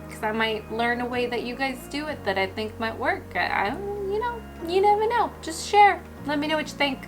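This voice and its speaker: A woman hosting a houseplant care video, explaining things in a chatty, relaxed way while losing her voice. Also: a little high. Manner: chatty, relaxed, and explanatory, addressing viewers directly. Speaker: houseplant care channel host. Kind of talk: casual instructional talk.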